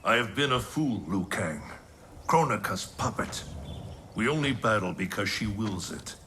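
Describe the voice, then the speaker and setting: A man's voice: on the low side, animated, a little slow, slight background noise. A man playing a stern, wrathful thunder god in a video game cutscene, speaking in a grave, imperious way. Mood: remorseful. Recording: loud cinematic music behind the voice.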